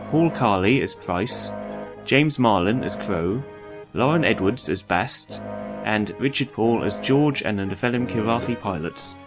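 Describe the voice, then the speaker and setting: A man reading scripted closing credits for an audio drama as an announcer. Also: medium pitch.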